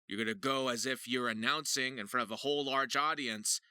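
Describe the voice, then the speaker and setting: A man's voice: mid-range voice, steady tone, fairly quick, sharp tone, clean tone, dry recording, clean studio sound. A man hosting an informal voice-acting tutorial, talking straight to viewers like an earnest coach. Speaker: voice actor and video host.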